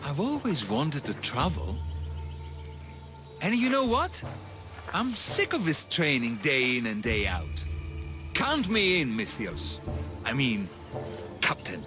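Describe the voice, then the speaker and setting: Game casting: restless champion athlete, unhurried and very expressive, music behind. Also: male voice, mid-range voice. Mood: fed up, eager. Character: weary young Olympic champion athlete, eager to travel. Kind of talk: video game character acting.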